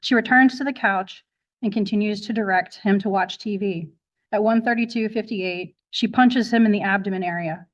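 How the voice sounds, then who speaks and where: A woman's voice: medium pitch, moderate pace. A woman speaking as a prosecutor, methodically reading a documented log aloud in formal, factual fashion, recorded with dull courtroom sound.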